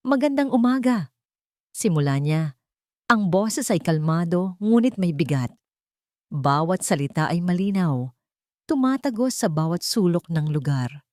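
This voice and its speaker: A woman narrating a scripted romance story in a dramatic, measured way, phrase by short phrase. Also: lowish voice, dark tone, dry recording, studio quality.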